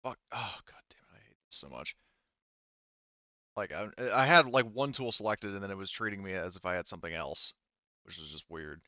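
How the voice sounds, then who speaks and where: Bright, low-voiced man as game master. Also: dry recording, muffled recording. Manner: halting, thinking aloud. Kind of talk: casual online tabletop game narration.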